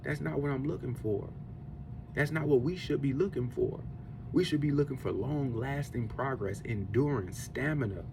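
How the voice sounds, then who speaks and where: A man's voice: medium pitch, normal speed, background noise. A man in his forties giving an earnest, preachy faith-based talk on discipline and eating, circling back to the same points as he goes. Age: 40s. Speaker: podcast host. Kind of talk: faith-based motivational monologue.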